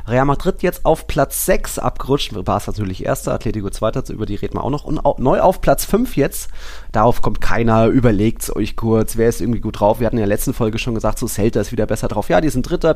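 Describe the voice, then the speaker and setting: Man, average-pitched voice, football podcast co-host, informal, rambling, colloquial commentary. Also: darkish tone.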